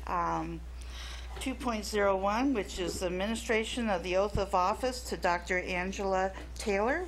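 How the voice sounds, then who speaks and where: A woman's voice: medium pitch, a little hiss or hum, poor audio. A woman chairing a school board meeting, formal and procedural as she moves through the agenda at a table microphone.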